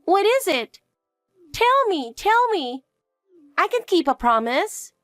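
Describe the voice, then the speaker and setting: A woman's voice: very high-pitched, big pitch swings, slow pace, fairly bright tone, dry recording, soft background music, voice pushed up, heavily acted. A woman voicing an anxious, clingy young girl who pleads and asks question after question in a dramatized story. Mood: eager.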